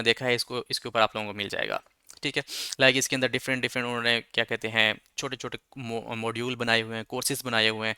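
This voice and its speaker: Man, casual English lesson, clear smooth voice, dry close sound. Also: medium pitch, bright, forceful tone, dry recording. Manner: conversational, repeats filler checks. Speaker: English teacher. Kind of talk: explaining lesson, mixing Urdu and English.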